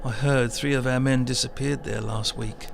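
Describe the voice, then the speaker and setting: A man acts out a disillusioned railway worker nearing fifty in a horror comic drama. His gravelly, mid-pitched voice is colloquial and world-weary, with clear noise behind it. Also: steady tone, moderate pace, natural voice. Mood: hushed, intrigued. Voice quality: creaky, gravelly. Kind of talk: dramatic dialogue in an audio-led motion comic.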